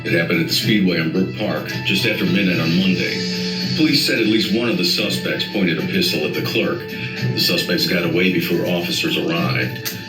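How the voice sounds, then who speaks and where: Man, news reader, very low voice, steady newscast read, echoey with a loud music bed. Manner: measured, even newscaster delivery. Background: loud background music.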